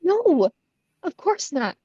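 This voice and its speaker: A woman's voice: extremely high pitch, big pitch swings, slow pace, mellow, dry recording, muffled recording, heavily acted. A woman playing a frightened but defiant young beauty pageant contestant, urgent and emotional, in a screenplay table read. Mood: anxious.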